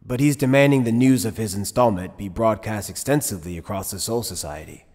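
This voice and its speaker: A man playing an easygoing but shrewd middle-aged head captain in an anime fan dub, speaking in a measured, wry, casual way. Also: fairly low pitch, darkish tone, lightly acted. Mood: unhurried. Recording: long hall reverb.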